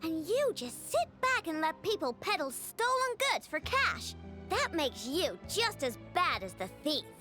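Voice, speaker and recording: Young girl inventor, feisty, indignant, very high voice, lively, game music behind. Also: unhurried, full character voice. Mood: defiant. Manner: shouting, indignant outbursts.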